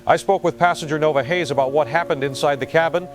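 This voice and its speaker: An actor playing a dramatic field reporter, delivering a serious, urgent scripted news report from a disaster. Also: male voice, a little high, fast, faint music behind, lightly acted.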